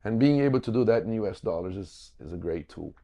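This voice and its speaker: A man's voice: fairly low pitch, animated, fast, mellow. A man from Brazil, a native Portuguese speaker, explaining things step by step as an interview guest. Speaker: podcast guest, financial business owner.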